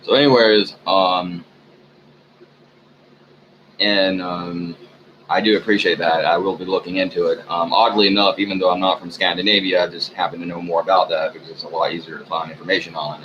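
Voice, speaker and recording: A man with a very deep voice rambles through a sleepy, unscripted monologue at home, stopping often for ums and lost words. The recording sounds dull and muffled, with a clear hiss under it. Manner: meandering, frequent ums, sleepy and low-key. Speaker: vlogger. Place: room at home.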